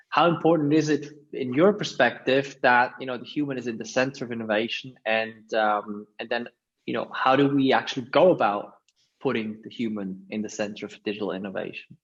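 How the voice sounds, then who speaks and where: Male podcast host, easygoing questioner, mid-range voice, slightly dull recording. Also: mid-range voice. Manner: friendly, uses fillers like "you know". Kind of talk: interview hosting.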